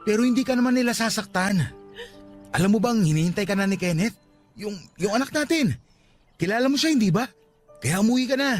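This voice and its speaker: Radio drama lead: devoted young Filipino man, fairly high voice, patient, gentle, respectful, music beneath. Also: lively intonation, fairly quick, pauses often. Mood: coaxing. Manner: soft-hearted, earnest, respectful.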